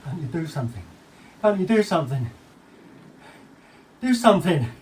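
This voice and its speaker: An actor playing an agitated, paranoid English henchman in a dramatic monologue, husky, wordy and slangy, with theatrical repetitions. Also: medium pitch, unhurried, a little mellow, a little hiss or hum, natural voice. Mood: desperate. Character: agitated paranoid henchman ranting about a superspy, colourful slangy storyteller.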